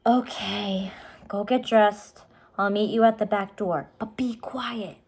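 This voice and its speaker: A woman's voice: mid-range voice, fairly even intonation, slow pace, gravelly, a little mellow, slightly rough, full character voice. Woman voicing a cautious, exasperated young boy. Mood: reluctant, worried. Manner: pedantic, worried, exasperated. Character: cautious, serious, bookish young boy, older brother.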